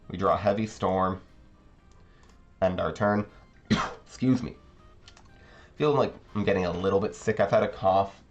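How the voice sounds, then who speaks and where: A man giving casual commentary on his card game matches, thinking aloud and explaining his plays, with a slightly congested voice. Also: deep voice. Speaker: card game channel host. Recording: slightly dull recording, faint background music.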